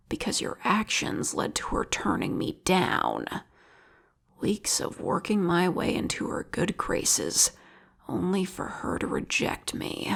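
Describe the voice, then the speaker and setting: A woman playing an obsessive, lovesick female vigilante killer in an intimate audio roleplay, with a whispery, gravelly voice and a slow, taunting manner. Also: lowish voice, a bit bright, exaggerated voice.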